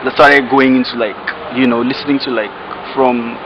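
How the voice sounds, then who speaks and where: Laid-back young Nigerian rapper outdoors. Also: male voice, mid-range voice, fairly even intonation, normal speed. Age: young adult. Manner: laid-back, rambling, slangy. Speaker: rapper guest. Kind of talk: casual interview. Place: outdoors in a residential compound. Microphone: camera held close. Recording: dull audio with outdoor noise.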